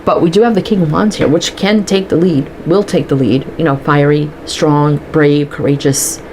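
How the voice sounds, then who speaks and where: A woman's voice: deep voice, fairly even intonation, moderate pace, a little mellow, slight background noise, low-quality recording. A woman doing a casual tarot reading, chatting and thinking aloud as she pulls cards, stuffy and sniffling from allergies. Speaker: tarot reader.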